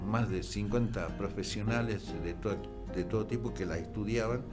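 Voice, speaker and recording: A middle-aged Argentine man speaks in a fairly low voice, pausing and restarting as he recalls details, then rising into lively bursts. Guitar music plays clearly behind him. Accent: Argentine Spanish. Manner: hesitant restarts, animated bursts. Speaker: guest, writer and musician. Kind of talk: conversational storytelling.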